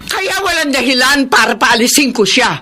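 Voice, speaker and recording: A stern older Filipino mother in a radio soap. Her voice is bright and forceful with wide swings of pitch, firmly reproving her grown children. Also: animated, normal speed, full character voice. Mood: firm, approving. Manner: firm, reproving, emphatic. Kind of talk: Filipino radio drama acting.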